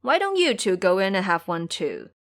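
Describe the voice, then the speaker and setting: A woman's voice: mid-range voice, sing-song, normal speed, close to an everyday voice. A woman voicing a menacing old male monster king in an audio drama, taunting and commanding.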